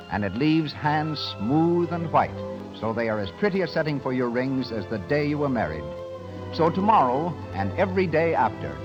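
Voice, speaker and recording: A man's voice: medium pitch, dull audio. A man working as a radio announcer, delivering scripted announcements and advertising in 1930s style.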